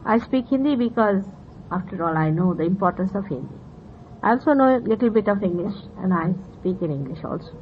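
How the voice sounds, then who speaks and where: A woman from India whose first language is Marathi speaks with an Indian accent, explaining spiritual ideas earnestly to her listeners and pausing often with fillers. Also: mid-range voice, expressive. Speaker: spiritual teacher. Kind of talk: spiritual talk to followers. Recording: dull old 1970s tape with faint hiss.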